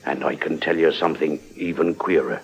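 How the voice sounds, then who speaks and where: Very deep, husky, gloomy landlord. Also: male voice, steady tone, full character voice. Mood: ominous. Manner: slow, foreboding, folksy. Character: gloomy, ominous English village pub landlord. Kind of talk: old radio drama acting. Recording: dull lo-fi old radio recording.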